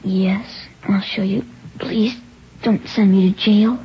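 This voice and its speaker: A performer playing a guilt-stricken nine-year-old boy in an old radio drama, halting, breathy and pleading. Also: mid-range voice, fairly even intonation, close to an everyday voice. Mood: guilty, scared. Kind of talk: 1950s radio drama acting. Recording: dull, noisy old radio recording.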